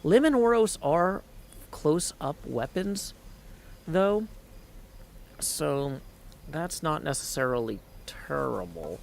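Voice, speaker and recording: A man's voice: a little high, very animated, slow, vocal fry, pauses often, slight background noise. A man hosting a livestream with casual, rambling game commentary, addressing viewers and reading text aloud. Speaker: livestream host and gamer. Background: video game sound.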